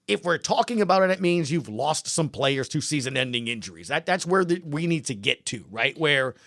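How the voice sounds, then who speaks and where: A man with a slightly high voice, recorded close and dry. He hosts a casual sports show, hammering points home in short, emphatic phrases and repeated words. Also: lively intonation, a little fast, creaky, fairly bright tone, no room sound. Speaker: sports podcast host.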